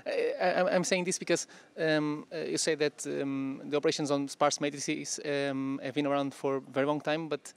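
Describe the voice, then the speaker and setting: Man, audience questioner, gravelly, smooth resonant tone, monotone, hesitant. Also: a little high, normal speed, hardly any pauses. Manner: hesitant, many fillers. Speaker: audience member asking a question.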